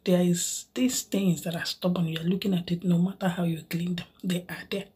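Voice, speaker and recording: A woman hosting a vlog, chatting to her viewers in a soft, breathy half-whisper and playfully pleading with them. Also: lowish voice, flat delivery, moderate pace. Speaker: vlog host. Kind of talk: casual chat to viewers.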